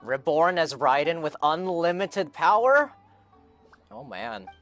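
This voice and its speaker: A man's voice: on the high side, sing-song, slow, a bit bright. A man streaming a video game, giving casual live reaction commentary, with game music behind him.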